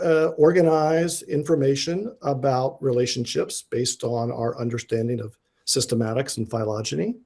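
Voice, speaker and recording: A man giving an informal academic presentation as a guest lecturer. He is enthusiastic and tries to speak slowly and deliberately, though his speech has many fillers and restarts. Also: medium pitch, darkish tone. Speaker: guest lecturer, diatom researcher.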